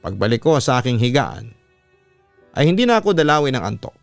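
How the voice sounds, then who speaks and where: A Filipino man narrates a written personal story in the practised style of a radio announcer. His voice sits at a middle pitch and is recorded close and dry. Music plays softly behind him as he reads. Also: big pitch swings, a little fast, gravelly, no echo. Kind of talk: scripted narration of a listener's letter.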